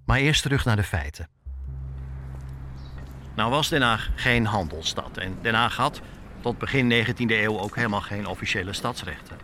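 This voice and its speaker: A man's voice: lowish voice, expressive, moderate pace, long pauses, bright, forceful tone, slight background noise. A man hosting as a reporter, reading scripted documentary narration for a podcast.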